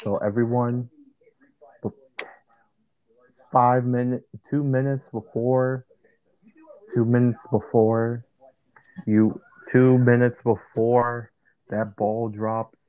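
A man co-hosting a casual chat podcast, talking loud in a flat monotone. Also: mid-range voice, slow pace, pauses often. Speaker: podcast co-host. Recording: tinny phone-like line.

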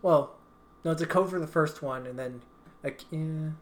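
A man's voice: on the high side, normal speed. A man co-hosting a casual talk, chiming in with short agreements and corrections. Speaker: podcast co-host. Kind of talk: casual chat.

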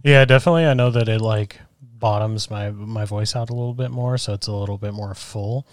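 A man with a deep voice co-hosting a podcast, talking in a loose, rambling, self-deprecating way through a mic and soundboard at home. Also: fairly even intonation, a little fast, no room sound, clean studio sound. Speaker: podcast co-host. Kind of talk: casual chat. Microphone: microphone through a soundboard.

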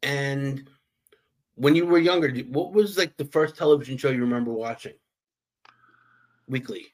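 A man's voice: mid-range voice, moderate pace. Man asking brief interview questions. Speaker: podcast host and history teacher.

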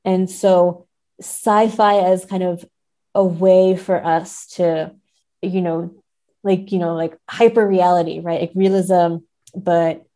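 A woman's voice: on the low side, steady tone, slow, warm tone. A woman in a conversational literary discussion, speaking thoughtfully as a podcast guest and often checking in with tag questions.

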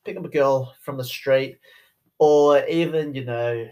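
A man's voice: medium pitch, measured pace, warm tone. A man in his twenties with an Australian accent, talking through a casual podcast monologue in a rambling way, full of "you know" fillers. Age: 20s. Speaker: podcast host, sales rep.

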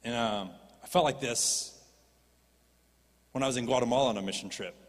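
A man preaching a sermon as a pastor, animated and rising to impassioned pleading. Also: mid-range voice, moderate pace. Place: church stage. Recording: long reverb of a church hall.

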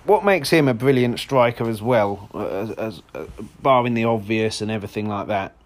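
A man with a hoarse voice, hosting a solo football podcast in a rambling, casual way with lots of restarts. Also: lowish voice, animated, normal speed. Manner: rambling, frequent restarts and fillers.

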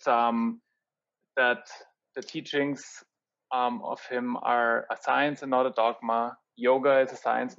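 A man who is not a native English speaker leads the talk, soft-spoken and measured, pausing with fillers as he summarizes and asks questions. His voice sits mid-range over dull, lo-fi audio. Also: medium pitch. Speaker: host. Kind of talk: casual discussion.

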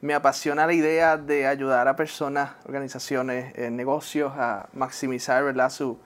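A man's voice: medium pitch, little pitch movement, normal speed. A young man with a Puerto Rican Spanish accent, a guest in a casual interview, who talks hesitantly, tags his sentences with "¿verdad?", laughs often and slips into English. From born in New Jersey, raised in Puerto Rico.

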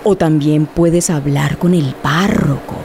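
An actress playing a jealous, proud young wife in a radio drama, emphatic and reproachful. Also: female voice, low voice, fairly even intonation, takes it slowly, warm tone, a little hiss or hum. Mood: smug, placating.

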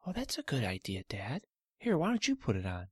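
A man voicing a small frightened young girl, with a high whiny childish voice. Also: wildly swooping pitch, a little fast, darkish tone, slightly rough, no echo, studio quality. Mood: pleading.

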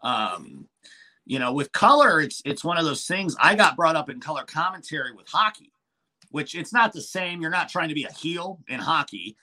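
A man's voice: a little high, big pitch swings, moderate pace, pushed and bright. A man, a wrestling manager and commentator, chatting casually in a podcast interview with frequent restarts and fillers.

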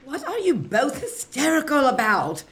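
Woman playing a cantankerous, suspicious guardian aunt, animated voice. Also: sits high, moderate pace, very noisy. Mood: suspicious, brusque. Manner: brusque, scornful, suspicious. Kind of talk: comic audio drama acting.

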